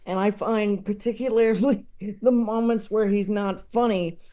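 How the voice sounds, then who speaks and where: A man speaking as an interview guest, casually discussing comedy in a halting, chuckle-prone conversational way. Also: high-pitched, fairly even intonation, warm tone.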